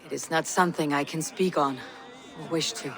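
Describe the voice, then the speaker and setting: A woman's voice: low voice, fairly even intonation, a little fast, fairly bright tone. A woman playing a steadfast young Viking warrior in a video game, blunt, composed and dryly wry. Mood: frank, wry. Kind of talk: cinematic video game acting.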